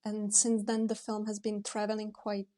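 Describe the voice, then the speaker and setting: A young woman director answering interview questions, pausing often to search for words. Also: medium pitch, completely flat delivery, dark tone. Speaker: documentary director.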